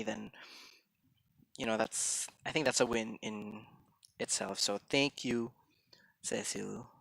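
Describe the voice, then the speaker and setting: A young man chatting casually on a game stream, quick-talking and full of restarts and little fillers. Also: mid-range voice, creaky, fairly bright tone, no echo, poor audio. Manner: chatty, hesitant restarts, mixes in Tagalog phrases; tends to talk fast. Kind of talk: casual live-stream chat.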